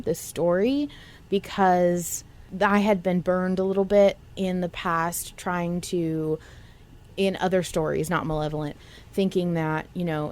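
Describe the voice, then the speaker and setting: A woman's voice: fairly low pitch, darkish tone. A woman hosting a podcast in casual discussion, speaking in an earnest, reflective way. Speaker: podcast host.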